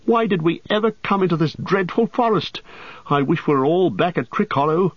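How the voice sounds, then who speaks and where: A man voicing a small, gentle, anxious male hobbit in a storybook, speaking in an agitated, plaintive way. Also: high-pitched, big pitch swings, a little fast, slightly rough, dry recording, muffled recording, voice pushed up, full character voice. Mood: distraught.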